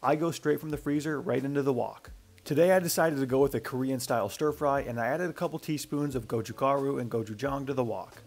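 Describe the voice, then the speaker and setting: A man in his twenties narrating a cooking tutorial as a voice-over, brisk and matter-of-fact with dry humor. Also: mid-range voice, darkish tone, soft background music. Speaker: cooking channel host.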